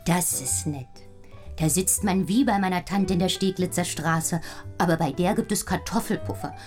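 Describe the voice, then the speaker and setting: Woman acting young independent showgirl, lowish pitch, candid, cheeky, innocent poise, radio play. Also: moderate pace, darkish tone, soft background music, lightly acted. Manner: candid, cheeky, matter-of-fact.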